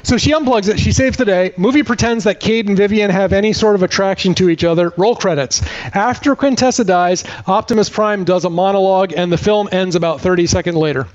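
A man's voice: high voice, brisk, lo-fi sound. A man giving a comedic movie plot recap as a podcast host, with sarcastic, exasperated storytelling.

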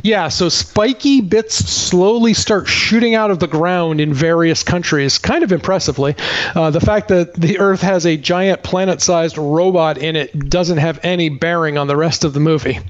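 High-pitched sardonic man recapping a movie. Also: moderate pace, dull audio. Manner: sardonic, exasperated. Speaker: podcast host. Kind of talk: comedic movie recap read in dialogue with co-host.

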